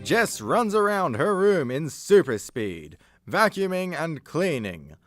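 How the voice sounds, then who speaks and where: Guy narrating a radio play, slow pace, kinda high voice. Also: big pitch swings, faint music behind.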